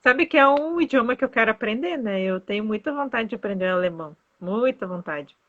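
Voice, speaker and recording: A woman's voice: fairly high pitch, animated, fairly quick, fairly bright tone. A woman from southern Brazil, hosting a casual live-stream chat as a tour guide, full of fillers. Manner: chatty, many fillers like "né" and "aí". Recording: dull lo-fi live-stream audio.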